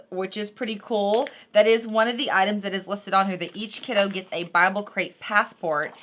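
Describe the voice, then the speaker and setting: A woman with a bright, forward voice at an average pitch hosts an unboxing vlog. She chats casually and without a script, speaking straight to her viewers in folksy, informal language. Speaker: host of unboxing and review vlog. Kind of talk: casual unscripted product review chat.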